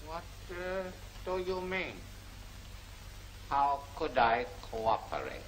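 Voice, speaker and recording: An actor playing a polite, cautious Chinese shopkeeper in an old film serial, speaking in halting, formal sentences with dropped words. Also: male voice, on the high side, sing-song. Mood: wary, hesitant. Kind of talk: 1940s film serial acting. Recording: noisy old film soundtrack.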